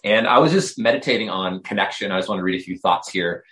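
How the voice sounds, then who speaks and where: Low-pitched male podcast co-host and coach, reflective, halting delivery. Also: fairly bright tone, poor audio. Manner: reflective, hesitant with many fillers. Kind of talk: faith podcast conversation.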